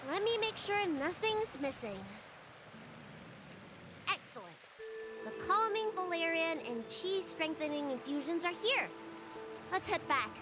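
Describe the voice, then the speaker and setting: A woman voicing a young girl healer in a video game, earnest and chatty, with precise, expressive phrasing. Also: very high voice. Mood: brisk, polite. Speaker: doctor. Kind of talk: English video game voice acting. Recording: game music behind the voice.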